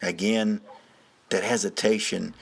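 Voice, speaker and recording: A man telling a story in the first person, with a folksy, colloquial manner and emphatic, suspenseful pauses. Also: on the low side, steady tone, vocal fry, breathy edge. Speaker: narrator of true stories.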